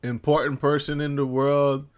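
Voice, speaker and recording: Blunt high-voiced man over crowd noise. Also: little pitch movement, normal speed. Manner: short blunt interjections. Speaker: podcast co-host. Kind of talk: casual podcast banter.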